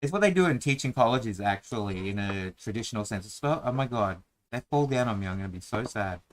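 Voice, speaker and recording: A man's voice: on the low side, lively intonation, fast talker, a little hiss or hum. A man hosting a casual chat while drawing a dungeon map, talking in a playful, rambling, self-mocking way.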